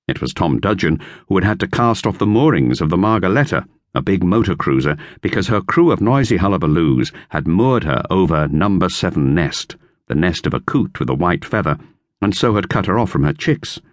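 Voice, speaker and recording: Lively, low-voiced male narrator. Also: a little fast, dry recording. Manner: lively storytelling. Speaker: audiobook narrator. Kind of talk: scripted narration. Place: recording studio.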